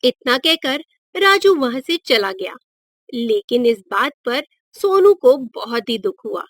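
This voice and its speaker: A woman's voice: high voice, sing-song, slow, a bit bright, no echo, studio quality. A woman narrating a scripted moral story for children.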